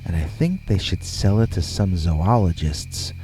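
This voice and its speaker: Slow, low-voiced man narrating scary stories. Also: expressive, mellow, a little hiss or hum, rough recording. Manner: measured, deliberate phrasing with pauses. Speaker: horror story narrator. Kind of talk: scripted first-person scary story narration.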